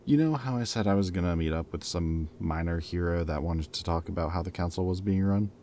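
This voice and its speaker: A man's voice: low-pitched, fairly quick, warm tone, a little hiss or hum, close to an everyday voice. A man playing a former villain in an audio roleplay, a playful, loyal friend who talks in a casual, wry, bantering and hesitant way, as if in conversation. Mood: cautious, serious.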